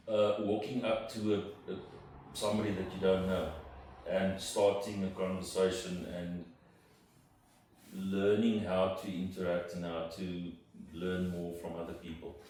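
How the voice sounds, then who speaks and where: Deep-voiced man, echoey room. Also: fairly even intonation, darkish tone. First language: Afrikaans. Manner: thoughtful, halting with fillers and restarts. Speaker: interviewed entrepreneur. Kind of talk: reflective interview answers. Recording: roomy, distant mic with room noise.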